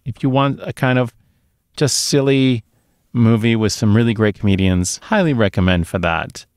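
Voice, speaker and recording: A man's voice: medium pitch, normal speed, a little mellow, clean tone, no room sound. A man in his thirties or forties co-hosting a movie podcast, reviewing films casually on a close microphone. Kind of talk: casual film review chat. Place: small dark home studio. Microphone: close podcast microphone on a boom arm.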